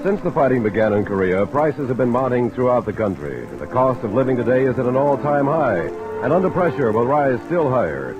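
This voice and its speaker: A man's voice: medium pitch, big pitch swings, a little fast. A man announcing in formal, emphatic 1950s network radio style, reading from a script. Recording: muffled old radio broadcast, music and rain.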